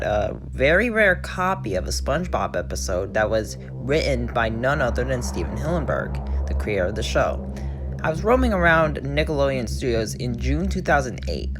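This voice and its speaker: A man hosting and reading a story in an informal narration, now and then stumbling and correcting himself. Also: medium pitch. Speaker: host and reader of creepypasta episodes. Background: music.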